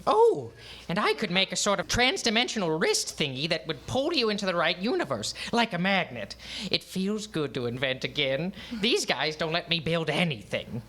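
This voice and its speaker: Woman as a sharp-voiced, zany alien inventor. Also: on the low side, big pitch swings, normal speed. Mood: eager. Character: crazy alien creature, gleefully mischievous female inventor. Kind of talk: sci-fi comedy radio play.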